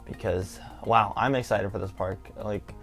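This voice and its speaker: A man's voice: lowish voice, steady tone, unhurried, a bit bright, soft background music. A nineteen-year-old man, hosting a casual theme park vlog, rambling enthusiastically with lots of "like". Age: young adult. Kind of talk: casual excited vlog talk.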